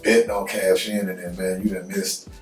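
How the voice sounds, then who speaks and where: A man in his fifties, a guest on a podcast, talking in a reflective, unhurried way and often saying "you know what I'm saying". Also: low-pitched, level tone, reverberant, recorded from a distance, faint music behind. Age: 50s. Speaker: guest, record label owner. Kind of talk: casual podcast interview.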